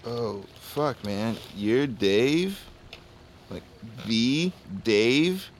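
A young male drug dealer in an audio drama, talking in loose stoner slang full of bros and mans. His mid-pitched voice swings around a lot, chummy and evasive, over faint background noise. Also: animated, extremely slow. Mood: wary, gossipy. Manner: slangy stoner talk, peppered with bro and man. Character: laid-back, evasive party drug dealer, young man. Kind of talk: naturalistic audio drama acting.

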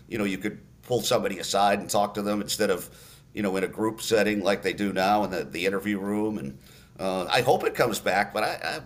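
An older man with a somewhat low voice talks in a relaxed interview. He's a radio play-by-play announcer who tells stories at an easy pace, circling back with fillers and restarts. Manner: storytelling, many fillers and restarts.

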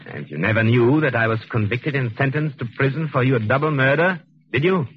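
Vengeful ex-convict husband male actor: deliberate, sinister, widely inflected, on a muffled vintage radio recording. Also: medium pitch, animated, a little hiss or hum, close to an everyday voice. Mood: menacing, gloating. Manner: deliberate, menacing, gloating. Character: vengeful, coldly triumphant wronged husband, English ex-convict. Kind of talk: 1940s radio suspense acting.

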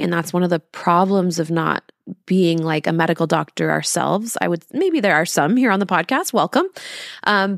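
A woman in her thirties hosting a podcast in a casual, confiding way, using emphatic rhetorical questions and drawn-out words. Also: fairly low pitch, gravelly, dry recording, studio quality. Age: 30s. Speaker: podcast host.

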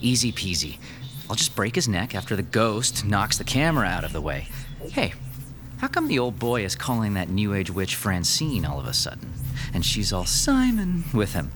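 A man's voice: fairly low pitch, huge pitch swings, moderate pace, background noise. An actor playing a crude, cocky, scheming ghost-hunt cameraman in an audio horror drama.

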